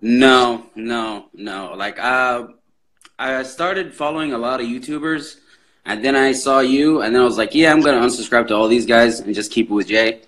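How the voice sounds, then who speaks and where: A man's voice: mid-range voice, normal speed, a bit bright. A young man from Saudi Arabia with an American accent, chatting in an easygoing, friendly way with a streamer over a video call. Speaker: viewer calling in. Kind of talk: casual chat on a video call.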